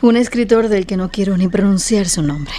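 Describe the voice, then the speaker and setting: A woman's voice: medium pitch, moderate pace, dark tone, slight background noise, natural voice. An actress playing a witty, proud woman novelist of modest means in a radio drama, speaking with articulate irony. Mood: guarded, indignant. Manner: ironic, articulate.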